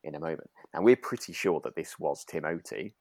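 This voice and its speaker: Low-voiced man in hesitant, casual chat. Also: animated, fairly quick, a little mellow, no echo, studio quality. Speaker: podcast co-host. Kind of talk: relaxed casual discussion of a radio drama.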